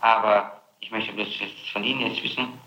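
A man questioning a suspect as public prosecutor, probing and halting, often tacking on tag questions. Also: fairly low pitch, fairly even intonation, pushed and bright. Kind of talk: interrogation. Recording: noisy echoey archival interrogation tape.

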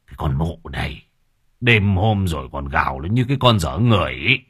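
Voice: a bass voice, lively intonation, brisk, slightly rough, pitched below the performer's own voice, exaggerated voice. A storyteller voicing a hot-tempered, half-drunk husband who curses and blusters. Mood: scornful. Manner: growling, cursing, blustering.

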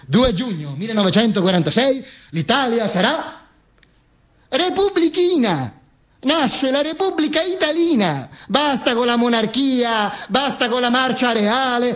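A man's voice: very high voice, big pitch swings, unhurried, a bit bright, voice pushed up, heavily acted. A man performing a theatrical monologue as a dead dictator's corpse, a wry, pompous, mock-solemn storyteller who stammers, repeats and corrects himself. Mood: mock-triumphant.

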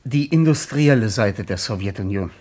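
An actor playing an eager, polite and wordy West German publisher in a radio play. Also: male voice, on the low side, animated, moderate pace, a little mellow. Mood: politely insistent.

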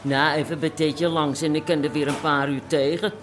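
A woman's voice: low voice, fairly even intonation, normal speed, over music, natural voice. An actress playing a cheeky woman who teases the boss. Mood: teasing. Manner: teasing, cheeky. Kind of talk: radio drama acting.

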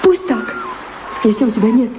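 A woman's voice: a little high, expressive, moderate pace, a crowd in the background, noisy background, natural voice. An actress playing a weary woman in love who longs for home, plaintive and earnest, in a theatrical radio drama. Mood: firm, reproachful. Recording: echoey drama recording with music. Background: music.

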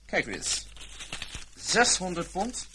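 An actor playing a cunning, smoothly polite fraud detective in a Dutch radio play. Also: male voice, high voice, huge pitch swings, takes it slowly, full character voice. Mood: smugly polite. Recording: noisy old radio play recording, clicks.

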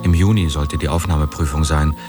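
A man calmly recounting his school days in plain words. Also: rumbling low, fairly quick. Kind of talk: personal recollection. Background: music.

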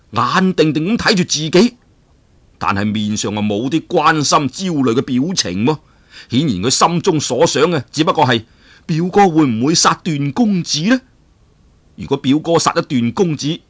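Animated male storyteller, bright and lively. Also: on the high side. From Hong Kong. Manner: animated, dramatic, chuckling asides. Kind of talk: traditional Cantonese storytelling of a martial arts novel.

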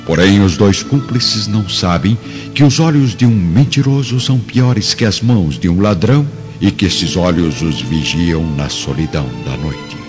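A Brazilian man narrates a radio drama solemnly in a very low voice. His delivery is dramatic and animated, on a somewhat dull, lo-fi recording. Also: normal speed. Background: clear background music.

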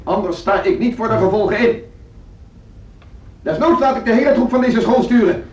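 A man's voice: sits high, normal speed, natural voice. Echoey, stern male orchestra conductor played by an actor. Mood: threatening, angry. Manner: condescending, formal, commanding. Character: stern, authoritarian music school orchestra conductor, losing patience. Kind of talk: radio drama acting. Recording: echoey, distant, dull noisy radio recording.

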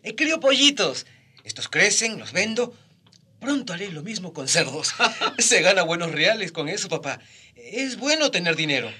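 An actor playing a cheeky, money-loving fourteen-year-old son in a radio drama. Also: male voice, high-pitched, sing-song, moderate pace, bright, forceful tone, slightly rough, full character voice. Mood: cocky, amused.

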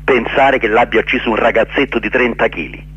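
A man answering radio interview questions earnestly and haltingly, repeating words for emphasis. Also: mid-range voice, steady tone, a bit bright, slightly rough, dull audio. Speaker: interview guest, actor and director. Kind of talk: radio interview answers, explaining his play.

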